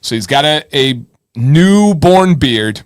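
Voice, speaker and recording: A man's voice: fairly high pitch, expressive, takes it slowly, no echo, studio quality. A man hosting a live advice show in casual chat, informal and encouraging, thinking aloud and repeating himself.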